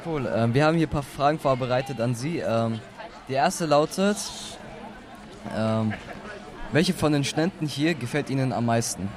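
A man's voice: medium pitch, normal speed, a crowd in the background. A young male student interviewing a teacher outdoors, reading prepared questions with frequent hesitations. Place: outdoors at a street festival.

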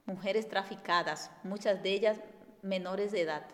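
A woman from Guatemala, giving a measured lecture with many pauses and fillers. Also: mid-range voice. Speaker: speaker. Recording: echoey hall.

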